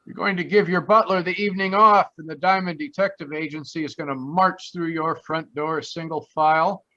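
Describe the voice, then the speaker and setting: Wisecracking male private eye played by a man, radio comedy style; punchy bright tone, lo-fi recording. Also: fairly high pitch, steady tone, brisk. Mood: playful, cocky. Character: wisecracking, cocky, flirtatious male private detective. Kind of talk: old-time radio detective comedy acting. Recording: dull, lo-fi video-call audio.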